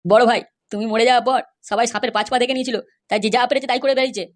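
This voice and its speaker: A woman's voice: on the high side, fairly even intonation, rapid-fire, lightly acted. A woman voicing a crude, loyal male henchman in a comedy cartoon, gossipy and reassuring.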